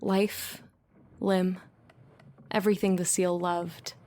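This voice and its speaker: An actress playing a brooding, sardonic woman aboard a ship, speaking in a dry, deliberate, musing way in an audio play. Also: on the low side, flat delivery, darkish tone. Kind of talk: theatrical audio play acting.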